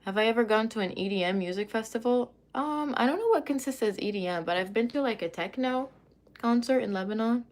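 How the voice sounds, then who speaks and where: Woman streamer, relaxed and rambling, chats with viewers while doing her makeup at home. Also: on the high side, fairly quick. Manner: chatty, rambling, many fillers and asides. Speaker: livestreamer answering chat. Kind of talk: casual livestream chat while doing makeup.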